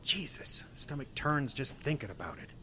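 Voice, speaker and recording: Squeamish, animated butcher man. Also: medium pitch, normal speed, slight background noise. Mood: queasy, baffled. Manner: hesitant, protesting. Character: squeamish, incredulous, sensible butcher co-worker. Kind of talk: audio horror drama acting.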